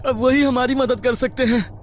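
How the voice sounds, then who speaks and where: Man acting urgent young man, very high pitch. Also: fairly even intonation, moderate pace, a little hiss or hum. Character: urgent, protective young man in a horror story.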